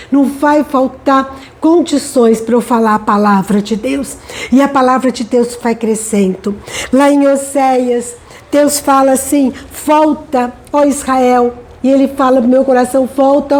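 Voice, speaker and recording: A woman's voice: sits high, darkish tone. An older Brazilian woman sharing a church devotional in a conversational way, often adding tag questions. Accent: Brazilian Portuguese. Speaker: church teacher. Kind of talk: devotional talk.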